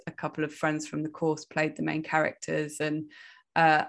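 A woman, a film director answering interview questions in a thoughtful way, with many fillers, restarts and frequent laughs. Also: low-pitched. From Wales. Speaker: film director and writer, guest.

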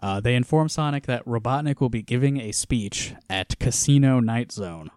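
A man co-hosting a casual podcast, walking through a comic with frequent laughter and quiet trailing-off lines. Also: mid-range voice, moderate pace, clear, resonant tone, no echo, clean studio sound. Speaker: podcast co-host. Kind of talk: casual chat reviewing a comic.

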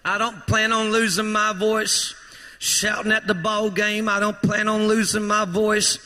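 A man preaching a fervent sermon in a church, his voice strained from shouting and rousing the congregation to respond. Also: high-pitched, flat delivery, a little slow, soft background music. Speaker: pastor. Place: church sanctuary. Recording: echoey church hall reverb.

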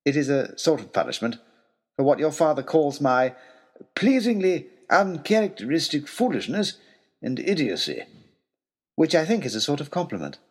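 An earnest, wordy tutor turned adventurer in an audio drama. His voice is husky and swings widely in pitch as he tells his tale with formal eloquence and wry self-mockery. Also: big pitch swings, normal speed, a little mellow. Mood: proud, buoyant. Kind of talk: dramatic reading of a letter, storytelling.

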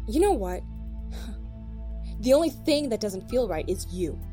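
A woman playing a brisk, commanding federal agent in an audio drama. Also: medium pitch, sing-song, warm tone, faint music behind. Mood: stern. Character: firm, take-charge female federal agent.

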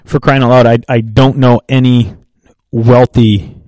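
A man's voice: mid-range voice, little pitch movement, a little mellow, dry recording. A man co-hosting a casual faith and finance chat, thinking aloud with many fillers and restarts. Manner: thoughtful, halting, with restarts and fillers. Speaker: podcast co-host.

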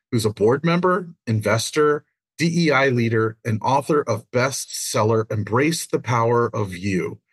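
A man's voice: medium pitch, slightly rough. A man hosting a podcast interview, asking friendly questions and often repeating words as he goes. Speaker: podcast host.

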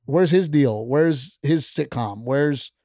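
Middle-aged male comic, gravelly, blunt and heated, profane casual talk. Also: fairly high pitch, slow, a little mellow, no room sound, clean studio sound. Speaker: stand-up comedian, podcast guest. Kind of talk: casual chat. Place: podcast studio.

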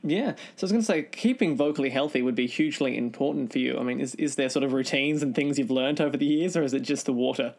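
A man hosting an interview show, asking questions in a brisk, polite way. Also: medium pitch, gravelly, darkish tone. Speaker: podcast host.

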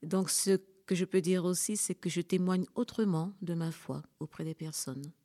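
A woman from French Guiana, a religious sister working as a chaplain, speaking calmly as a radio guest. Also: lowish voice, moderate pace. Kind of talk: radio interview.

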